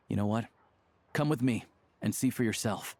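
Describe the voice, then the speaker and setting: A man's voice: fairly low pitch, a little mellow. A man playing a laid-back, world-weary young townsman in a video game, speaking in a dry, matter-of-fact way. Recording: game music behind.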